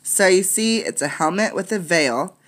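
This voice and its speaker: A woman's voice: fairly low pitch, lively intonation, normal speed. A woman teacher reading a children's nonfiction book aloud, adding explanatory asides for young listeners.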